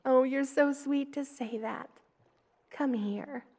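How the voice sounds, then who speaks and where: A loving spider queen played by a woman for an ASMR roleplay. Her voice sits fairly high and moves very slowly. She speaks with motherly tenderness and gently formal, soothing words, as a kindly monster would to someone in her care. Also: exaggerated voice. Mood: warm, affectionate.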